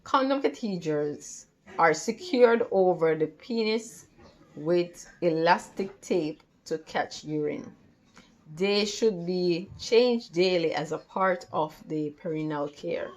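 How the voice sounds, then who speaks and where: A woman reads a textbook lesson aloud as a tutor. Her voice sits slightly low and moves slowly. She goes carefully and haltingly, often stumbling and repeating words. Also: a little mellow, low-quality recording. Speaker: tutor reading study material aloud. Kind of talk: read-along lesson from a textbook.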